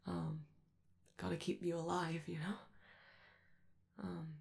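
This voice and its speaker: Woman voicing a young male robot, awkward, eager to please, creaky, slightly low pitch, hesitant rambling, gentle ASMR roleplay. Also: little pitch movement, takes it slowly, exaggerated voice. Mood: nervous, reassuring. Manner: hesitant, rambling, nervously apologetic, stammering. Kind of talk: soft ASMR roleplay monologue.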